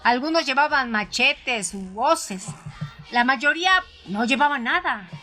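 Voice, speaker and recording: A woman reading a first-person story aloud in a measured, expressive way. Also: high voice, soft background music. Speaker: narrator. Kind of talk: scripted audiobook narration.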